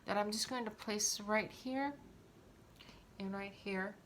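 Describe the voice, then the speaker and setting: A woman's voice: medium pitch, steady tone, takes it slowly, fairly bright tone, slight background noise. A woman hosting a casual makeup tutorial, explaining each step as she demonstrates it. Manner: instructional, talking while demonstrating.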